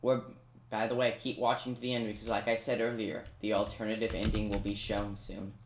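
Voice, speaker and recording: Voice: mid-range voice, little pitch movement, a little fast. A person giving a casual step-by-step video game guide, talking directly to viewers with chatty asides. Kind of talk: casual step-by-step gameplay commentary. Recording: roomy echo, noisy, keyboard clicks.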